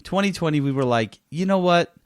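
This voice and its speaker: A man's voice: on the high side, lively intonation, brisk, no room sound, studio quality. A man co-hosting a podcast, chatting casually and emphatically about games. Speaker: podcast co-host. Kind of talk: casual gaming chat.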